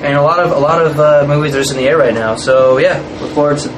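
A man's voice: a little high, fast talker. A man, an actor being interviewed, chatting casually in a rambling, hesitant way. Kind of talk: casual chat. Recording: noisy room with air-conditioning hum.

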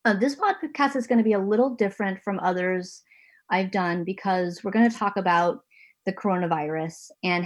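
A woman hosting an interview podcast from her home basement, talking earnestly with a few restarts. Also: lowish voice. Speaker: podcast host and managing editor.

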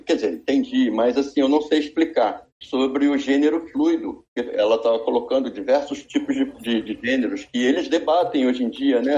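Casting note: man in his sixties, podcast guest, mellow dark voice, meandering delivery, lo-fi sound. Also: mid-range voice. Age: 60s. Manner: rambling, many restarts and fillers. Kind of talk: casual chat.

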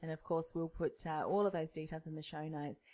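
A woman's voice: sits low, fairly even intonation, fairly quick, a little mellow. A woman hosting a podcast interview, affirming and chatty. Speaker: podcast host.

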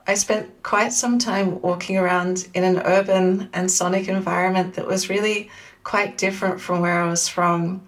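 A woman in her forties with an Australian accent, speaking in a measured, thoughtful way as an academic guest in an interview. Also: on the low side, creaky, distant mic. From Sydney, Australia. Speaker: guest, media studies academic. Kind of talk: reflective interview conversation.

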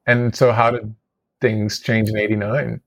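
A man's voice: fairly low pitch, little pitch movement, measured pace, vocal fry, a bit bright, dry recording. A man hosting a podcast interview from a hotel room, speaking through a Bluetooth headset mic. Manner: hesitant, restarts questions. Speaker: podcast host. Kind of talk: interview conversation.

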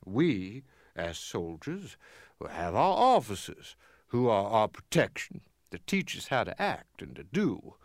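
Dignified Union sergeant, swooping oratory. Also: male voice, fairly low pitch, wildly swooping pitch, slow, no room sound, clean studio sound, full character voice. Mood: pleading, dignified. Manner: oratorical, formal, impassioned. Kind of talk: dramatic reading of a formal letter.

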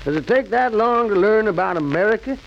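High, creaky doubtful father. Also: animated, brisk. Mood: skeptical. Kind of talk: 1940s radio acting. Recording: muffled, hissy 1940s radio recording.

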